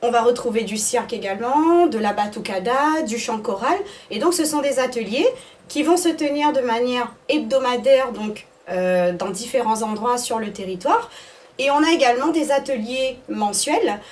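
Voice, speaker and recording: Higher-pitched woman explaining, slightly distant mic. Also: normal speed. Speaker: arts school director, interview guest. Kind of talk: explanatory interview answers.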